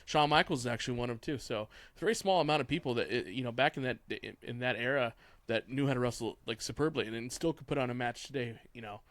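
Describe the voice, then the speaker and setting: Blunt man, mid-pitched, very dry sound. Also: fast, fairly bright tone, dry recording. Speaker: podcast panelist. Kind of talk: casual opinionated sports chat.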